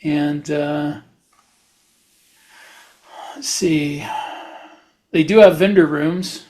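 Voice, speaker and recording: Man, slightly high voice, podcast host, casual conversation, halting and rambling delivery. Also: fairly even intonation, a little mellow. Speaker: writer.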